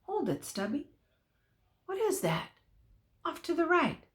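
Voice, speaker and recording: Woman putting on a high, boisterous young cowboy. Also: big pitch swings, unhurried. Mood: curious, wary. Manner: whooping, hollering, folksy Western slang. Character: boisterous, cocky young cowboy, rootin' tootin' and reckless.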